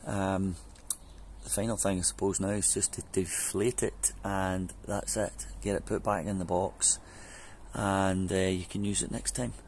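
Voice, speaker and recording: A man's voice: sits low, flat delivery, measured pace, a little mellow, a little hiss or hum. A man, chatting loosely with many ums through a how-to vlog in his back garden, picked up by a camera mic. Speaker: home DIY vlogger. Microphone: camera mic on a fixed camera.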